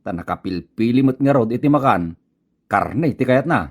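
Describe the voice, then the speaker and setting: A man acting an older grumbling uncle in an Ilocano comedy radio drama, with an Ilocano Filipino accent and expressive colloquial delivery. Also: medium pitch, moderate pace, breathy edge.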